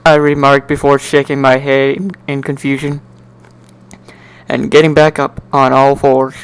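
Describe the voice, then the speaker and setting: A man giving an amateur dramatic reading of a first-person story in an animated, wry, sardonic way. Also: medium pitch, unhurried, clean tone, low-quality recording. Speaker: amateur narrator.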